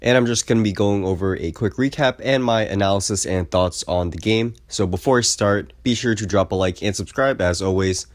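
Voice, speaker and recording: Congested young man, deep dry voice. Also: fairly quick, few pauses, no room sound. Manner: easygoing, repeats "I definitely think". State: congested from a cold. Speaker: basketball video host. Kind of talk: casual sports recap commentary.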